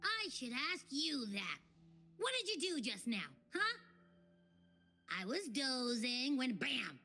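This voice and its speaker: A woman's voice: high voice, very animated, takes it slowly, pushed and bright, soft background music, heavily acted. A woman voicing a small, grumpy creature companion in a video game, brash and whiny in manner. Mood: grumpy, indignant. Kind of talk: video game character acting.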